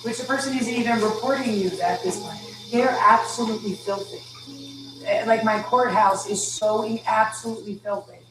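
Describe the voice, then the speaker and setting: A woman's voice: on the high side, steady tone, moderate pace, background noise. A woman chatting casually on a stream in a rambling way, full of fillers and restarts. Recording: echoey room from a distant mic.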